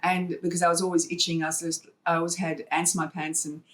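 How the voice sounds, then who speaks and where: A woman's voice: fairly low pitch, flat delivery, fast, fairly bright tone. Chatty woman telling stories. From Hong Kong. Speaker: podcast guest, artist and wildlife fundraiser. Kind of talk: conversational storytelling interview.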